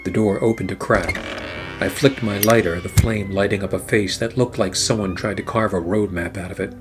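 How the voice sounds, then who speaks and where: A man playing a weary, wry 1940s private eye in a noir radio play, wisecracking and narrating in a deadpan manner. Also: fairly low pitch, moderate pace, music in the background, lightly acted.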